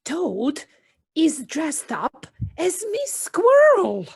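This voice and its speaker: Woman as a timid, high-voiced little mole. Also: huge pitch swings, vocal fry, heavily acted. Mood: startled. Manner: hesitant, halting. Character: timid, gentle, anxious little male mole. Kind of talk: amateur audio play acting.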